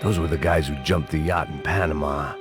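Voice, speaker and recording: Jaded middle-aged American ex-cop, extremely low voice, sardonic deadpan, noir game narration, loud music behind. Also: male voice, a little fast. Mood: tense, grim. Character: world-weary, cynical middle-aged American ex-cop, hard-boiled. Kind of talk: hard-boiled noir video game narration. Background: loud game soundtrack music.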